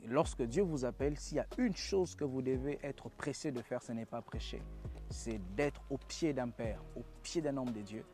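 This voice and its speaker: A man's voice: medium pitch, moderate pace, over loud music. A young man from Congo, a pastor, telling his personal testimony in an earnest, preacherly way, with hesitant restarts.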